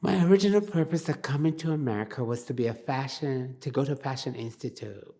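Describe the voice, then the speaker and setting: A woman's voice: a bass voice, fast talker, dark tone. An older woman from Barbados, telling her life story in an oral history interview in a plain-spoken, reflective way.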